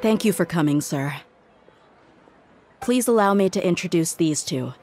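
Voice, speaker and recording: An actress playing a self-reliant, loyal woman mercenary in a video game, speaking in a measured, earnest, firm way. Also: fairly low pitch, lively intonation, background noise, close to an everyday voice. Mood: calm, polite. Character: self-reliant adult female desert mercenary, loyal and resolute. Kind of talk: video game character acting.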